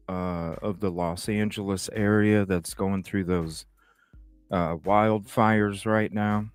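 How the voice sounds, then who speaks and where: A man's voice: fairly low pitch, clear, resonant tone, no room sound. A man hosting a casual true crime livestream from home, rambling with lots of uhs and some cursing. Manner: slow, rambling, with frequent uhs and mild cursing.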